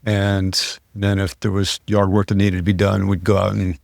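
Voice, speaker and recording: A man hosting a podcast, chatting casually and asking his guest relaxed questions. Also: sits low, moderate pace, vocal fry, no room sound, clean studio sound. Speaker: podcast host.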